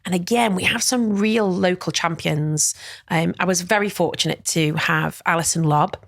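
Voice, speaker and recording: A woman's voice: on the low side, normal speed, gravelly, no room sound, studio quality. A middle-aged woman talking as a guest in an interview, chatty and full of fillers. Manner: chatty, warm-hearted, many fillers and "you know". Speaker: guest, wellness event director. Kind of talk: casual interview chat.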